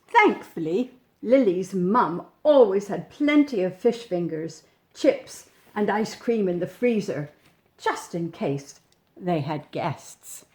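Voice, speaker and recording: Female storybook reader, animated and varied in pitch, slow pace, gentle asides and questions for small children. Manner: gentle, animated, pointing out pictures with questions to the listener. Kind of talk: expressive picture-book reading for young children, with chatty asides.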